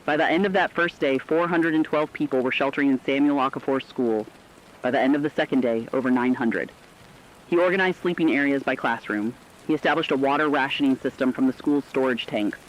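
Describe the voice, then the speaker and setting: A man's voice: medium pitch, a little fast, highs cut off, close to an everyday voice. A man playing an earnest radio correspondent, telling human stories in solemn, scripted narrative reporting. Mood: solemn, moved.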